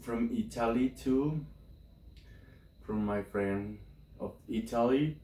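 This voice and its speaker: A man from Colombia, a native Spanish speaker, talking casually to his viewers in halting English with a Colombian accent from his bedroom. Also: medium pitch, warm tone, roomy sound, recorded from a distance. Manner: halting, informal English with slips. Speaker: video creator. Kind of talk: casual talk to camera. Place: bedroom, indoors.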